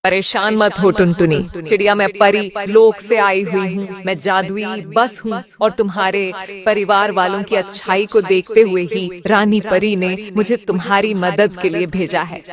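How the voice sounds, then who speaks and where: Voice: medium pitch, natural voice. A performer voicing a kind magical talking bus from fairyland in a cartoon story, speaking in a soothing, reassuring way. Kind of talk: Hindi cartoon voice acting.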